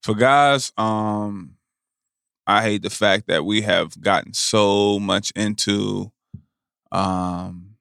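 A man's voice: on the low side, fairly even intonation, drawn-out words, vocal fry, a bit bright, clear, resonant tone, dry recording, clean studio sound. A man hosting a podcast in casual chat, riffing in a slangy, joking way. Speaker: podcast host.

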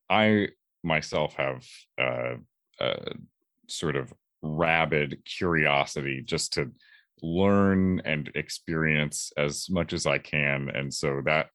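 A man with a bass timbre giving reflective interview answers as a voice actor, in a halting, thoughtful, measured way. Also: fairly bright tone, dry recording, studio quality.

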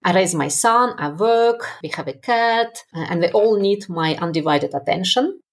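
A woman in her forties from Russia with a strong Russian accent, a guest in a conversational interview, speaking haltingly with many fillers and easy laughter. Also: medium pitch, expressive. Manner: self-deprecating, laughs easily, halting with frequent fillers. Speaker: podcast guest.

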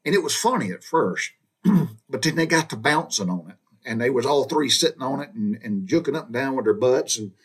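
A man's voice: medium pitch, lively intonation, fairly quick, slightly rough. A man from Georgia with a Southern accent, telling his own childhood stories in a folksy, meandering way, often restarting his sentences and wandering into asides. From Georgia, USA. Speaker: storyteller recounting his childhood. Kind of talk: casual spoken storytelling.

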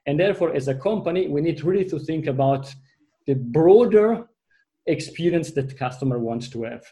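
A man with an Italian accent whose first language is Italian, speaking as a guest in a business discussion and explaining his points through long, halting examples. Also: on the high side, expressive, dark tone. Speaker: panel guest.

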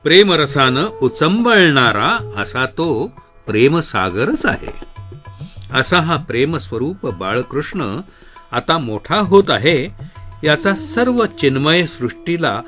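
Venerable storyteller sage: unhurried, reverent, mid-pitched with lively swings, clear music behind. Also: male voice, full character voice. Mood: reverent, joyful. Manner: measured, reverent, expressive. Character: venerable male sage preaching Krishna's story to devotees. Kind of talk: devotional discourse, storytelling.

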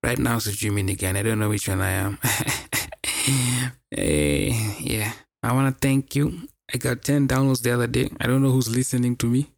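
A man's voice: medium pitch, normal speed, gravelly, no room sound, clean studio sound. A young man with a Kenyan accent, chatting casually as a solo podcast host in a slangy way, often repeating words for emphasis.

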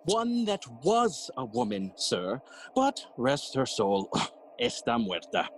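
An actor playing a jolly, cheeky gravedigger who riddles and teases in a theatrical bilingual Spanish-English Shakespeare audio play. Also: male voice, mid-range voice, huge pitch swings, slow, soft background music, full character voice. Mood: sly, teasing. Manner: teasing, sighing and chuckling, theatrical.

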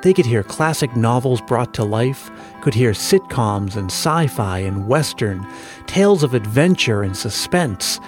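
American guy narrating a podcast, mid pitch, faint music under him. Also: expressive, unhurried. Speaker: podcast host. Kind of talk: scripted narration.

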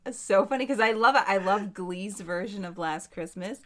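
A young woman in casual chat, enthusiastic and quick to agree. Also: medium pitch, fairly bright tone.

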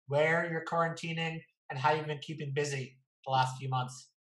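A man's voice: on the high side, steady tone, moderate pace, a bit bright. A man hosting a casual interview, chatting and putting questions to a guest in a roomy, echoing recording. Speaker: interview host. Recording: echoey, roomy sound.

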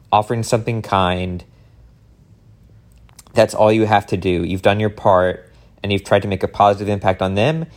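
A man's voice: lowish voice, a little mellow. A man speaking as a motivational speaker in an informal, earnest and encouraging talk straight to his audience.

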